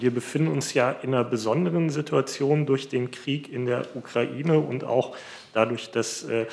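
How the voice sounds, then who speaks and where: A male journalist putting a formal, deliberately phrased question at a press conference. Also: mid-range voice, vocal fry. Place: large indoor press conference room.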